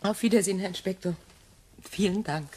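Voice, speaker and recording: An actress playing a distraught widow in a radio crime drama, fragile and faltering, with a breathy voice. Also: female voice, mid-range voice, fairly quick, a little mellow, slight background noise, lightly acted. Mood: subdued. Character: distraught widow feigning shock, secretly calculating.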